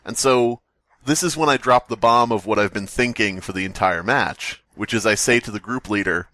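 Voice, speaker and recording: A man co-hosting a podcast, telling a story to friends in a casual, animated, sweary way. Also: on the low side, moderate pace, dry recording. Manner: animated, profane, does voices in anecdotes. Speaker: podcast host.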